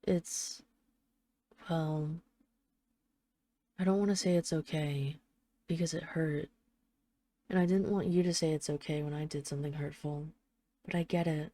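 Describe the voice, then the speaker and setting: Actress as female mafia boss in hiding, lovesick and apologetic. Lowish, soft-edged dark tone, earnest halting delivery, intimate audio roleplay. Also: steady tone, no room sound. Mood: regretful, vulnerable. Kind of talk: intimate audio roleplay, one side of a phone call.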